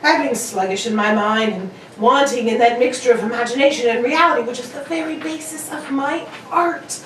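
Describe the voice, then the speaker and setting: A woman's voice: fairly high pitch, moderate pace, a bit bright, lightly acted. A woman playing a brilliant, exacting male detective in an old-time radio drama, with precise, theatrical delivery. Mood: self-reproachful. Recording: echoey room, distant mic, faint noise.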